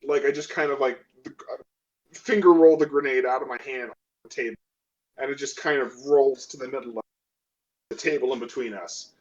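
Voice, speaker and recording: A man's voice: medium pitch, fairly even intonation, brisk, lo-fi sound. A man playing a tabletop role-playing game, casually improvising what his character does in a rambling, profane way.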